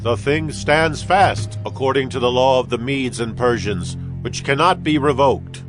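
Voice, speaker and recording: A man's voice: medium pitch, unhurried, natural voice. A man playing a formal, troubled king with a regal, declamatory delivery in a dramatized scripture production. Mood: firm. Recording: music bed behind the voice.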